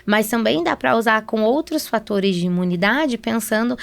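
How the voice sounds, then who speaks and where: A woman's voice: a little high, moderate pace, gravelly. A Brazilian woman speaking as a guest nutritionist on a podcast, explaining things conversationally and often adding small check-in tags. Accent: Brazilian Portuguese.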